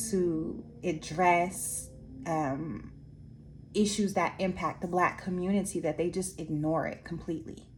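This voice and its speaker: Woman, hoarse, lowish voice, slow halting talk, reflective monologue. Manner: slow, halting, many restarts and fillers. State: losing her voice, hoarse. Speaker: art teacher trainer. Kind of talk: reflective casual monologue to viewers. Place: Anchorage area after workshops.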